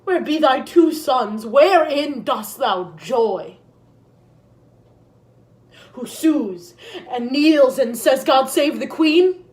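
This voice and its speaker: A woman's voice: high voice, animated, drawn-out words, long pauses, a little mellow, full character voice. A woman playing a grieving, vengeful deposed queen in a Shakespearean stage monologue, declaiming solemnly and bitterly. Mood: scornful. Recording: echoey room.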